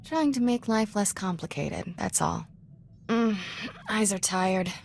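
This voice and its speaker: A woman's voice: mid-range voice, takes it slowly, creaky, darkish tone, a little hiss or hum. A woman playing a bookish, flirtatious Jedi companion in a video game, with a casual, clipped, teasing delivery. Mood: tired, casual. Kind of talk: video game dialogue acting.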